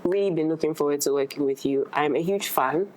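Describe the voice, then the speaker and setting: Casting: actress, slightly low voice, informal and playful conversational delivery, recorded with audible noise. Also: female voice, brisk, darkish tone. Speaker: actress in a discussion. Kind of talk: casual chat. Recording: noticeable background noise.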